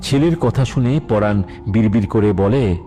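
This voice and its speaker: A man's voice: on the low side, moderate pace, warm tone. A man narrating an emotional children's story from a script as the storyteller. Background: faint music.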